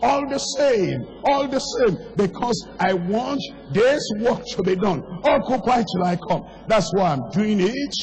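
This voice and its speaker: An older man, a pastor with a strained, hoarse voice, preaching an impassioned sermon with repeated phrases and pointed questions. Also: high-pitched, animated, unhurried, few pauses, darkish tone. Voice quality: strained, worn voice. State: hoarse, voice going. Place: large hall, preaching to a congregation. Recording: long echoing reverb of a large hall.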